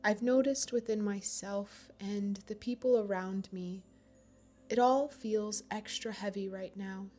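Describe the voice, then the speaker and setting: A woman leading a guided meditation in a gentle, soothing manner, with pauses between phrases. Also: mid-range voice, fairly even intonation, soft background music. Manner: slow, gentle, soothing delivery with long pauses.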